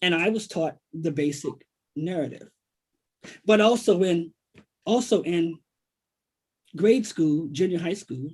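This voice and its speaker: An American man, a writer, speaking reflectively and haltingly in a literary conversation, repeating phrases for emphasis. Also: fairly high pitch, slightly rough. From Washington, D.C., United States. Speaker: poet and writer, guest author.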